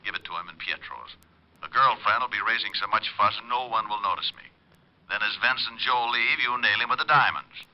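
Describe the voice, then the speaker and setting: An actor playing a tough male private detective, brisk, confident and slangy, in an old-time radio crime drama. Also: lowish voice, natural voice. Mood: confident, urgent. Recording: dull old radio recording.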